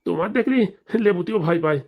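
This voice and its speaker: A woman plays a henpecked older cartoon husband, fairly low-voiced and extremely quick of speech. He is meek and servile, placating timidly and muttering his complaints. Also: expressive, dull audio. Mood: timid grumbling. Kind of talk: comic cartoon voice acting.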